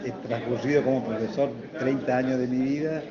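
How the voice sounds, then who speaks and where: Behind a thick wall of crowd noise, an older man speaks in a medium-pitched voice with a northeastern Argentine accent. He explains things earnestly as an interviewee, pausing on filler words. The sound is muffled and lo-fi. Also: steady tone. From Puerto Iguazú, Misiones, Argentina. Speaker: retired biology professor being interviewed. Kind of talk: spoken interview answers. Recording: dull lo-fi, loud crowd chatter.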